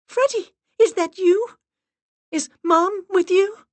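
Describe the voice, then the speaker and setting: A grieving college-age girl , acted out by a woman narrator, pitched far up from her normal voice. She sounds fragile, distraught and close to sobbing, as in a dramatic mystery audiobook. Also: takes it slowly, no echo. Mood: shocked, hopeful. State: tearful, voice breaking. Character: distraught, grieving young female college student.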